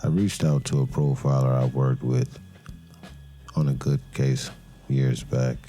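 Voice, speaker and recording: An actor playing a weary, brooding detective who narrates his case in an audio crime drama with somber, deliberate pauses. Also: very deep voice, level tone, mellow, soft background music. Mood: somber, reflective.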